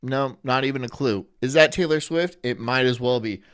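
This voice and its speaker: A young man from Florida chatting casually on a live stream, rambling through jokes and deadpan absurd asides. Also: medium pitch, moderate pace, clear, resonant tone, no room sound, clean studio sound. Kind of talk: casual live-stream chat reacting to a video.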